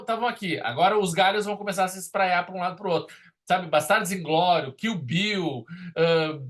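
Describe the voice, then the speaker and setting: A man's voice: high voice, a bit bright. A man with a southern Brazilian accent hosting a casual film discussion, speaking in an emphatic, discursive way with frequent checking tags. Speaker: podcast host.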